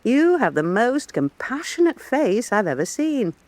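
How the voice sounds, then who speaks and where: A woman's voice: fairly high pitch, sing-song, a little slow, darkish tone, no echo. An actress playing a coarse, combative woman painter in a historical radio drama, mocking and emphatic. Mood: blunt, sardonic. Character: blunt, coarse, defiant Venetian woman painter. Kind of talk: full-cast historical radio drama acting.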